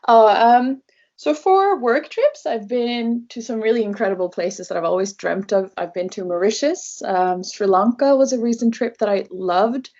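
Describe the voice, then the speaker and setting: A woman's voice: fairly high pitch, moderate pace, mellow, dull audio. A young woman from Norway, a native Norwegian speaker, answering interview questions conversationally in English as a travel blogger guest.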